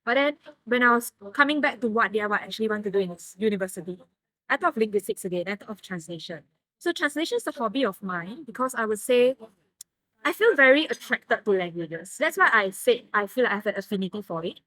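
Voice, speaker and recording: A young woman talks through her ideas in a thoughtful, measured way, like a guest in a relaxed interview. Her voice sits at an ordinary middle pitch. The sound is dry and close, with almost no room echo. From Malaysia. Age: young adult. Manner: thoughtful, explains in measured steps. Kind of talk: casual interview conversation.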